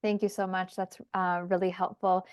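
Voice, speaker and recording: A woman host winds up a webinar in a friendly, filler-heavy way, with plenty of ums as she talks. Her voice sits at a middling pitch and sounds dry and close, with no room around it. She comes through a video call. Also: flat delivery, normal speed, clear, resonant tone, dry recording. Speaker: webinar host, head of community. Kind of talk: hosting an online Q&A session.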